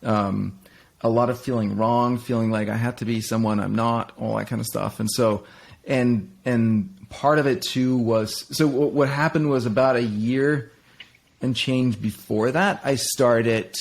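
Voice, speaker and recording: A man's voice: mid-range voice, steady tone, measured pace, vocal fry. A middle-aged man from Denmark, talking candidly as an interview guest, with frequent restarts and fillers as he reflects on his life. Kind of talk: reflective personal conversation.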